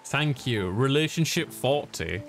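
A man's voice: mid-range voice, expressive, unhurried, soft background music. A man streaming a game, giving offhand let's play commentary and brief reactions. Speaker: gaming streamer.

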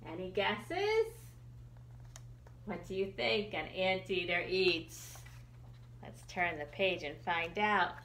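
A middle-aged woman reading a picture book for children, expressive and putting questions to young listeners. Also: lowish voice, slow pace, long pauses, roomy sound, a little hiss or hum. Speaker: children's librarian, storytime reader. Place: small room indoors.

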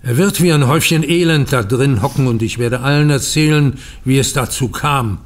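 Audio drama casting: male actor as vengeful older banker, medium pitch, sardonic, emphatic. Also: moderate pace, close to an everyday voice. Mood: sly, satisfied. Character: aggrieved, theatrical banker, slyly vengeful, older man. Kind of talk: German audio drama acting.

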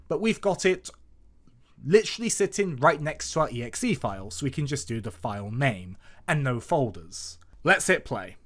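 A tutorial host with a very animated, swinging intonation explains things step by step. The delivery is scripted and instructional, moving methodically through a programming lesson as a voice-over. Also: medium pitch. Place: voice-over to a screen recording.

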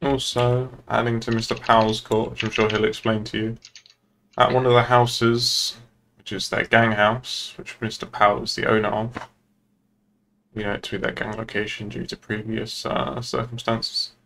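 Low, flat-toned man with creaky voice. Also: normal speed, slight background noise, low-quality recording. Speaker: roleplay player as police officer. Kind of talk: improvised in-character game dialogue.

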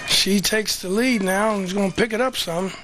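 A man with a hoarse voice giving chatty, rambling play-by-play at an outdoor track meet for local TV. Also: high voice, normal speed, background noise. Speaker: local TV commentator. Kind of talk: casual play-by-play commentary.

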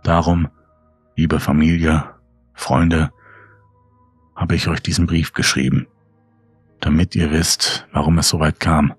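A man reading a horror story as scripted dramatic audiobook narration, grave, measured and brooding. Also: very deep voice, level tone, husky, no room sound. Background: faint music.

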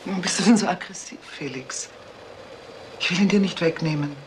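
A woman's voice: lowish voice, brisk, slight background noise, close to an everyday voice. A woman playing a wounded, restrained middle-aged ex-wife and mother in a naturalistic radio crime drama. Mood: gently reproachful.

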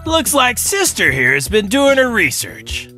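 Old shopkeeper, folksy, teasing, bright forceful voice, lively pitch, video game character, faint music. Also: male voice, unhurried, exaggerated voice. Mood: teasing, storytelling. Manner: folksy, drawling colloquial speech. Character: chatty, teasing old male store attendant.

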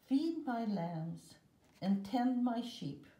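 A woman reading a children's Bible picture book aloud with measured, deliberate phrasing and pauses, recorded indoors. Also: fairly high pitch, expressive, dark tone, roomy sound. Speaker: storybook reader.

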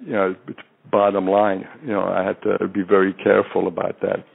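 A man's voice: low-pitched, monotone, fairly quick, creaky, breathy edge. Man telling stories over a tinny line. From born in France, raised in the United States. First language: French. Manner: rambling, many fillers and restarts. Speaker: retired FBI undercover agent, guest. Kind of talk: interview storytelling. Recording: tinny phone-line audio.

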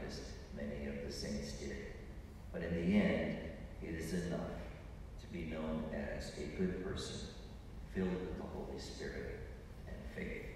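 An older male priest gives a homily in a deep, measured voice. The sound rings through a large church with long reverb, and clear background noise sits around his words. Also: a little mellow. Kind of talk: homily, measured prepared delivery. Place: large church interior, at an ambo. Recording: echoey church from far off, noisy.

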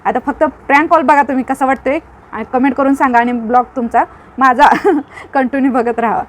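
A woman vlogger speaks in a high voice with a rural western Maharashtrian accent. She talks casually and informally, as if chatting to her followers. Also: steady tone, a little fast, a little hiss or hum. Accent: rural western Maharashtrian Marathi. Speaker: vlogger making a prank call. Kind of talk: casual vlog chat.

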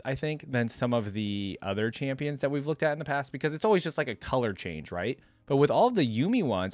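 A young man hosts a casual reaction video in a chatty, opinionated way. His pitch moves up and down freely in an animated delivery. The sound is dry and close, as from a desk microphone. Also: dry recording. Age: young adult. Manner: chatty, opinionated, thinks aloud. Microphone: close desktop microphone, headset on.

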